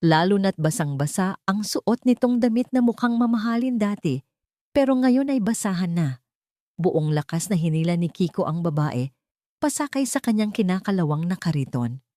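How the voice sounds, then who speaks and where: A woman's voice: medium pitch, lively intonation, normal speed, warm tone, no echo, studio quality. A woman narrating a dramatic story, her voice rising with emotion at tense moments.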